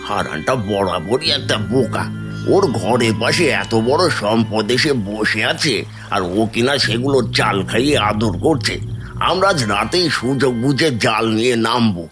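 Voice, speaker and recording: A man's voice: mid-range voice, lively intonation, normal speed, natural voice. A man playing a greedy, sly village thief in a fairy-tale cartoon, plotting and dismissive. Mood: scheming. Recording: music behind the voice.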